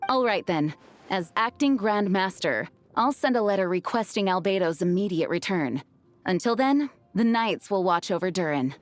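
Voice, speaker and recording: A woman's voice: medium pitch, lively intonation, moderate pace, no echo, lightly acted. An actress playing a poised, dutiful female knight commander in a video game, speaking formally and courteously. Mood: resolute. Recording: background music under voice.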